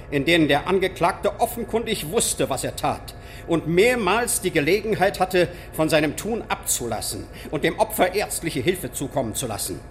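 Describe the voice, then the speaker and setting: An actor playing a stern judge delivering a verdict in formal, measured tones in a radio play. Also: male voice, medium pitch, a little hiss or hum, natural voice.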